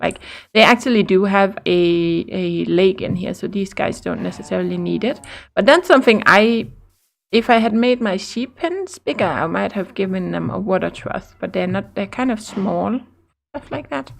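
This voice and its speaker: A woman's voice: medium pitch, vocal fry. A woman with a Danish accent, chatting casually while playing a building game and explaining things as she goes. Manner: chatty, upbeat, quick laughs. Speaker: Minecraft teacher and co-host. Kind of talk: casual gaming chat.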